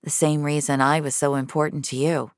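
A woman's voice: deep voice, flat delivery, brisk, smooth, dry recording, lightly acted. A woman voicing a tough, terse, dry-witted woman on the run in an audio drama. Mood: grim resolve.

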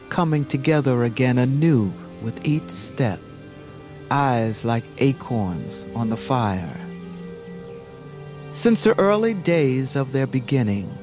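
A man narrating a fantasy tale in a measured, solemn, dramatic storytelling manner. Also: medium pitch, a little mellow. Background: music.

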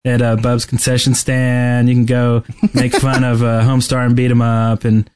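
A man co-hosting a podcast in casual chat, rambling and halting as he talks. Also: mid-range voice, completely flat delivery, darkish tone, clear, resonant tone. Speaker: podcast co-host. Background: music.

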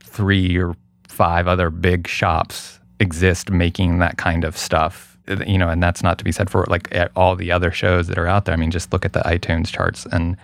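A man with a low voice full of vocal fry, recorded dry and close. He talks casually and thoughtfully as a guest, pausing and rephrasing as he hedges his way through an answer. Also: flat delivery, no echo. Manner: thoughtful, hedging, halting delivery. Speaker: guest, radio producer. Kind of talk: casual interview conversation.

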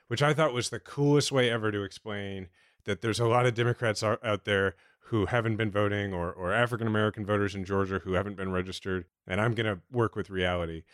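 A man's voice: fairly low pitch, a bit bright, dry recording, clean studio sound. A man co-hosting a podcast, chatty and enthusiastic, rambling with many fillers and restarts. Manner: rambling, self-interrupting, enthusiastic with frequent fillers. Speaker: podcast co-host. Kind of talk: casual podcast chat.

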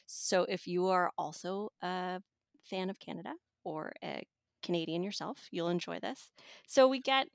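A woman giving a conversational book review as a guest, thoughtful and pausing to find her words. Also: medium pitch, creaky, no echo, studio quality. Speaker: magazine editor reviewing an audiobook as guest.

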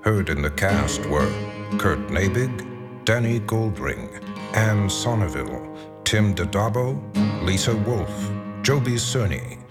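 A man's voice: lowish voice, expressive, slow. A man announcing programme credits in a scripted radio style. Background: loud guitar music.

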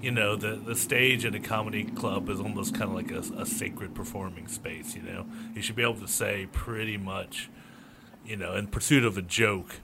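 A man's voice: low-pitched, gravelly, bright, forceful tone, slight background noise. A man co-hosting a casual podcast, laid-back and wry. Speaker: podcast co-host. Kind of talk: casual chat.

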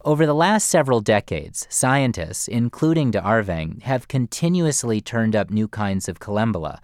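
A male radio reporter narrating a scripted feature story in a measured, storytelling delivery. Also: on the low side, sing-song, a little mellow, no echo, clean studio sound.

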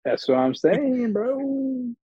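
A man's voice: very high-pitched, very animated, takes it slowly, husky, dry recording, lo-fi sound. A man co-hosting a podcast, teasing his partner in casual chat banter. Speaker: podcast co-host.

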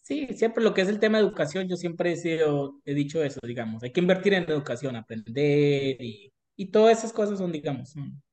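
A man's voice: fairly high pitch, expressive, a little fast, hardly any pauses, darkish tone. A man with a Colombian paisa accent, speaking earnestly and encouragingly as a guest in a casual interview. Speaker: podcast guest, small business owner.

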